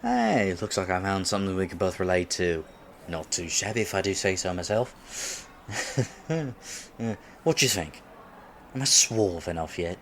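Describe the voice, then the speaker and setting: A man's voice: low-pitched, sing-song, normal speed, a bit bright, a little hiss or hum, full character voice. A man acting in a roleplay audio drama as an awkward, geeky man on a blind date, stammering, flustered and self-deprecating. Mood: playful, shy.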